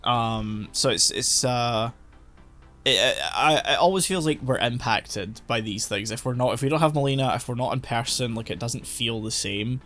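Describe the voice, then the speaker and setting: A man's voice: mid-range voice, moderate pace, fairly bright tone, faint music behind. A man co-hosting a casual podcast, rambling through his thoughts with lots of fillers and restarts. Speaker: podcast co-host. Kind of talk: casual chat about anime. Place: home, recording online.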